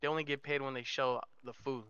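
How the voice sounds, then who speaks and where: A man playing a slangy, halting mafia underling in a crime roleplay game, over slightly dull lo-fi voice chat. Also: medium pitch, steady tone, sharp tone, clean tone, no echo, close to an everyday voice. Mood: explaining, frank. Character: street-talking mafia underling who manages the family business.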